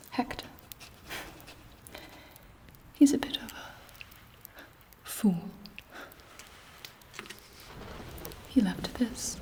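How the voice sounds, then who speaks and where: Woman acting as an ambitious vampire queen, female, breathy whisper, drawn-out deliberate phrasing, haughty scorn, seductive, ASMR roleplay. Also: mid-range voice, a bit bright. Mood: sly, conspiratorial. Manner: slow, deliberate, haughty, with dramatic pauses.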